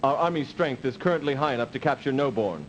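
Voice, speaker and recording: Dutiful space officer played by a man, mid-pitched, formal and cautious, video game briefing, lo-fi audio. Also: animated, lightly acted. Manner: formal, measured. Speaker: military adviser in a video game. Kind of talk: 1990s video game cut-scene acting. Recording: dull, noisy old CD game audio.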